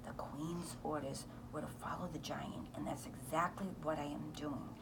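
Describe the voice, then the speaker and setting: A woman's voice: low-pitched, steady tone, moderate pace, gravelly, heavy background noise. Woman reading as a cheerful, breezy young pilot. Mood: matter-of-fact.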